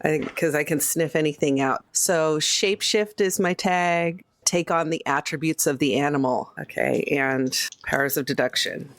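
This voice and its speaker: A woman's voice: low-pitched, normal speed, few pauses, dry recording. A woman playing a tabletop game, chatting casually and describing her character's moves.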